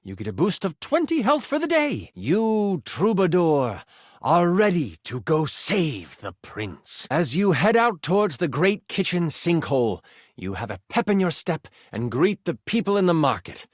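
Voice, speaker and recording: A playful living die running a tabletop adventure in a video game. His voice sits a little high and moves widely in pitch as he tells the story with theatrical drama and eager asides. The sound is dry and studio-clean. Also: huge pitch swings, measured pace, no echo, full character voice. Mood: dramatic, suspenseful. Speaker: game master.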